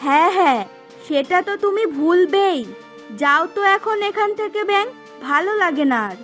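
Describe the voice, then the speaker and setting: A woman's voice: very high-pitched, normal speed, a bit bright, soft background music. A woman voicing a spoiled young wife in a cartoon, sulky and demanding, with a complaining, sarcastic way of talking. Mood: sarcastic, annoyed. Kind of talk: Bengali cartoon voice acting.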